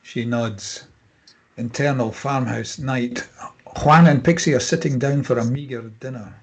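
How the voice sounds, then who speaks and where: A man with a husky, mid-pitched voice reads screenplay directions. It is scripted narration, setting out scenes and actions in short statements. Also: unhurried. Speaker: stage-direction reader.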